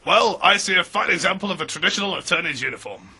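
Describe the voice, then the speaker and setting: An actor playing a pompous, formal judge in a comedy sketch, speaking in a measured, solemn way. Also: male voice, sits high, animated, creaky, sharp tone, slightly rough, full character voice. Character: pompous courtroom judge, solemn and easily swayed.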